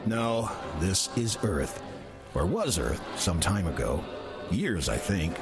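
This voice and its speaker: An actor playing a sly, ancient demon posing as an old church usher, wry and sardonic, with an American accent, in an audio drama. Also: male voice, low voice, big pitch swings, music in the background, full character voice. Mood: sardonic musing. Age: older. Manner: wry, sardonic, deliberate storytelling. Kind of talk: audio drama first-person narration.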